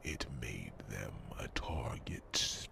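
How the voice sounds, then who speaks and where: A man voicing an ancient, solemn, brooding fantasy creature in an ASMR roleplay, whispering slowly and formally with pondering hums. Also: very animated, heavily acted. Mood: wary pondering. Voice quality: very deep, gravelly, breathy whisper.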